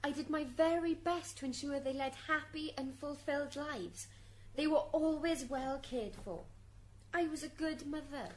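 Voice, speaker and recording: An indignant mother defends herself in a radio-play courtroom, acted by a woman. Her voice is high and her manner aggrieved and defensive. Faint background noise sits behind it. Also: measured pace. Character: indignant, self-defending dead housewife and mother on trial. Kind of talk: radio drama acting.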